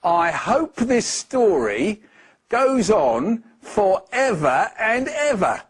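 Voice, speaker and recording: A man's voice: sits high, very animated, drawn-out words, husky, voice pushed up, exaggerated voice. A man voicing an earnest, indignant young boy in a children's storybook, speaking emphatically and drawing out his words. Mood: pleased.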